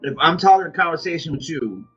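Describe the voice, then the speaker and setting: A man with an American accent and a husky voice, telling anecdotes in casual, colloquial vlog chat. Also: on the high side, expressive, quick pace, a bit bright. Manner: colloquial, acts out quoted exchanges. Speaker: vlog co-host. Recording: dull lo-fi audio, music underneath.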